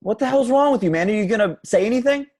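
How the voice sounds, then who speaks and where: A man's voice: sits high, lively intonation, quick pace, a little mellow. A man at a home table read playing a troubled young city man, hesitant and naturalistic. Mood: agitated.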